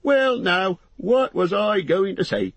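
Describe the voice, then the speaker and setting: A man's voice: sits high, very animated, takes it slowly, no room sound, voice pushed up, exaggerated voice. A man voicing a fat, harried, talkative innkeeper in an audiobook, chattering breathlessly in rustic, folksy phrases. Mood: flustered, chatty. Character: fat, bustling, harried, talkative middle-aged male innkeeper. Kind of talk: audiobook character voice.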